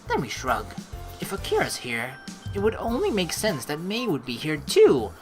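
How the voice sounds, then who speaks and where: Lively low-voiced woman gamer. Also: normal speed. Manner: casual, expressive, joking asides. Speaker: let's play host. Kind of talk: reading game narration aloud with casual commentary. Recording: soft game music behind. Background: game music.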